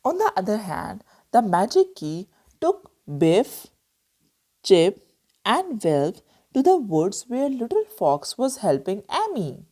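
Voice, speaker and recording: A woman storyteller reading a children's book aloud with expressive narration. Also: medium pitch, slow pace.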